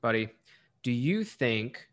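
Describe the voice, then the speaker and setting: A man's voice: medium pitch, sing-song, slow, fairly bright tone. A man in his late twenties hosting a podcast, friendly and reflective in a casual way. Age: 20s. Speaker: podcast host. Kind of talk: conversational interview.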